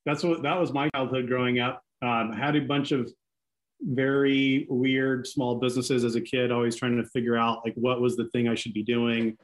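Relaxed man, halting and easygoing. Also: medium pitch, poor audio. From Seattle. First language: Greek. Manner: relaxed, halting, many restarts and fillers. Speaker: interview guest. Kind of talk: casual podcast interview.

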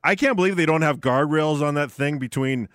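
A man's voice: on the high side, fairly quick, fairly bright tone, dry recording, clean studio sound. A man co-hosting a live sports talk radio show, chatting in a rambling, conversational way. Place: radio studio.

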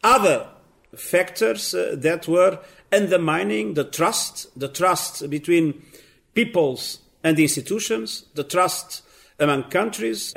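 A man with a slightly higher, gravelly voice answers an interview question about world affairs. He talks in a measured, deliberate way, pausing often to gather his thoughts. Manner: measured, deliberate, with many pauses and fillers. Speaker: interviewed guest. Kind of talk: considered political commentary.

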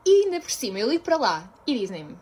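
A woman's voice: a little high, very animated, quick pace, a little mellow, breathy edge. Exasperated Portuguese woman venting in a vlog. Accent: European Portuguese. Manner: exasperated, laughing nervously. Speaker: vlogger venting in a video. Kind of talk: casual vlog rant.

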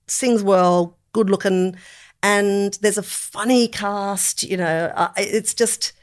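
A middle-aged woman chatting casually as a podcast co-host, with an expressive, descriptive turn of phrase. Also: medium pitch, takes it slowly. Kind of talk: casual chat about films.

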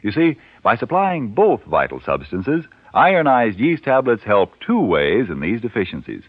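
A man's voice: medium pitch, wildly swooping pitch, moderate pace, breathy edge, dry recording. A male 1940s radio announcer reading a script with earnest, dramatic salesmanship. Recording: tinny, narrow-band 1940s radio recording.

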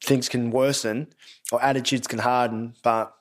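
A man's voice: mid-range voice, little pitch movement, moderate pace. A man with an Australian accent interviewing a guest, asking questions in a rambling, hesitant way. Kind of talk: casual interview chat.

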